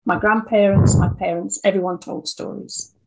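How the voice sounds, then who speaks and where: An older woman with an English accent speaks as a guest in an interview. Her voice is a little husky and sits at a medium pitch. She talks in a conversational storytelling way. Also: fairly even intonation, moderate pace, rough recording. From Birmingham, English Midlands. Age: 60s or older. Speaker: interview guest, audio drama writer.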